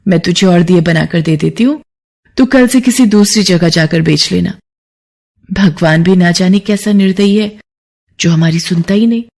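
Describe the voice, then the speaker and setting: Woman as gentle, resigned poor mother. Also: fairly low pitch, moderate pace, gravelly. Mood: sad, consoling.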